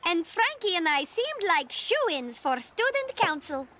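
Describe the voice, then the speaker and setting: A woman's voice: extremely high pitch, very animated, a little slow, sharp tone, heavily acted. A woman playing an eager, fretful teenage monster girl in a cartoon, with a girlish delivery. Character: earnest, bubbly, anxious teenage monster girl. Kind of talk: animated cartoon acting.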